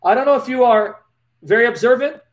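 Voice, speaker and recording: A man with a noticeable lisp and a strikingly high-pitched voice answers viewers' questions live. He reads scripture aloud in a preacherly, animated manner, close to a laptop webcam in a small dim room. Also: brisk. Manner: animated, preacherly, gestures while talking. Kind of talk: live Q&A, reading Bible verses aloud.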